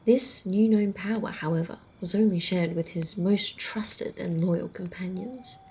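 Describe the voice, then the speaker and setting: A woman playing a calm, ancient female vampire in an ASMR roleplay, telling old lore in a soft, measured half-whisper. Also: fairly low pitch. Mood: calm, wistful. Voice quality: soft, breathy, often whispering. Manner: measured, deliberate, hushed storyteller. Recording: faint outdoor night noise, crickets.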